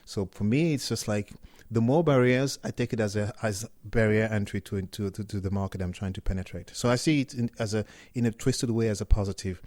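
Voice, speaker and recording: A middle-aged man from Cameroon, a startup co-founder talking casually as an interview guest, relaxed and often restarting his words. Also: on the low side, fairly quick, no echo, clean studio sound.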